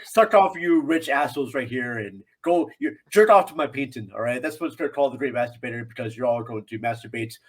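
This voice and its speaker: A pompous, brash male painter acted out by a man in a comic bit. He addresses an art crowd with mock grandeur and crude contempt, in a mid-pitched voice. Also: fast talker, hardly any pauses, lightly acted.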